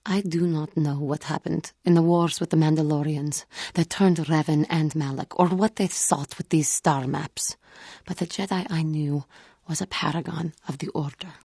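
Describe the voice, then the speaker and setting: Casting: female alien Jedi warrior for a game, earnest and wounded, low mellow voice with a husky grain, formal and measured. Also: steady tone, dry recording. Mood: solemn, resolute. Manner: formal, measured, grave phrasing with few contractions. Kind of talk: video game character dialogue.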